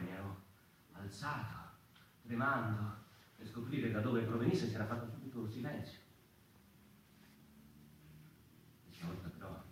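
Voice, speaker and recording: An actor playing an anguished, sleepless man haunted by mice, in a theatrical monologue with halting, deliberate phrasing and dramatic pauses. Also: lowish voice, natural voice. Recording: roomy, distant stage recording with faint noise.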